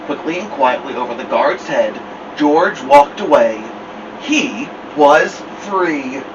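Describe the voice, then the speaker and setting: A man reading a children's picture book aloud as a storytime host, theatrically, with drawn-out words and dramatic pauses. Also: on the high side, lively intonation. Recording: distant mic, dull sound, noisy background hum.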